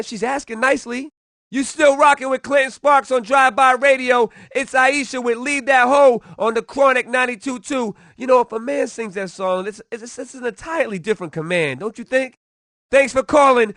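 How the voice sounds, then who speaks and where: A man's voice: very high voice, a bit bright, dry recording, studio quality. A man performing as a brash, hyped-up hip-hop radio DJ, announcing in punchy, slangy, promotional patter. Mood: amused. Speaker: radio DJ host.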